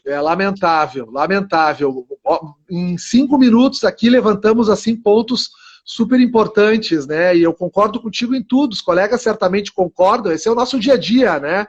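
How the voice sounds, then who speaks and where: Man, high voice, eager host, rambling restarts, muffled stream audio. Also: lively intonation, moderate pace, husky. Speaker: host lawyer. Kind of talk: live-stream interview.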